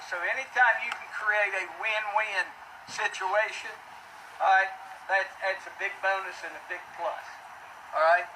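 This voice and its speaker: A man giving press conference remarks as a city official, in a halting, plainspoken, folksy manner. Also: high voice. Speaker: city official speaking at an announcement. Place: public announcement event. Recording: noisy distant mic, ambient background hum.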